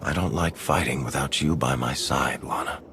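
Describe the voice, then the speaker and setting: An actor playing a steady, reserved military commander in a game cutscene, speaking in a restrained, matter-of-fact way. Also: male voice, a bass voice, moderate pace, gravelly, a little hiss or hum, exaggerated voice. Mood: steady, sincere. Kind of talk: scripted game cutscene dialogue.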